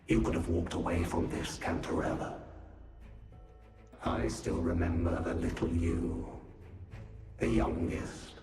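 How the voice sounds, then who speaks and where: An actor playing a scheming, menacing lord in a video game, taunting and theatrical in delivery. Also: male voice, low voice, roomy sound. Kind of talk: dramatic video game voice acting.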